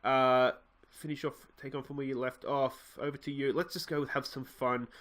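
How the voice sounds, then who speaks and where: A man's voice: medium pitch, moderate pace. An Australian man with a slightly nasal voice from a cold, casually talking through his gameplay, rambling and often correcting himself. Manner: rambling, self-correcting, excitable at goals. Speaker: let's play host.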